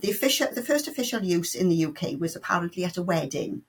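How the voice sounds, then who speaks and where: Low gravelly Welsh woman. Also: big pitch swings, fast. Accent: South Welsh. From South Wales. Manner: chatty, with many restarts and playful quoted asides. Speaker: sign language tutor. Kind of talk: casual interview answers.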